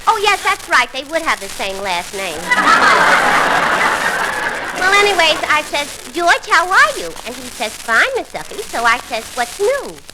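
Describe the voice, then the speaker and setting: Dim young working-class New York woman, shrill-high, chatty, 1940s radio comedy, clearly noisy recording. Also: big pitch swings, a little slow. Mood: rambling, clueless. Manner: rambling, literal-minded, ungrammatical.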